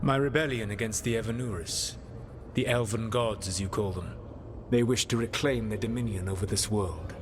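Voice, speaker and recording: Man playing deep, solemn ancient elven rebel. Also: background noise, close to an everyday voice. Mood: grave, explanatory. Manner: measured, formal, eloquent. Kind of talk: video game cutscene acting.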